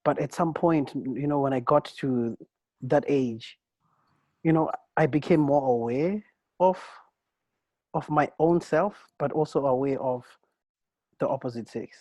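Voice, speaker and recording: A man co-hosting a casual podcast chat, rambling and halting with many restarts and "bro"s. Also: mid-range voice, mellow, no room sound. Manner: rambling and halting, many restarts, peppered with "bro" and "you know what I'm saying". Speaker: co-host.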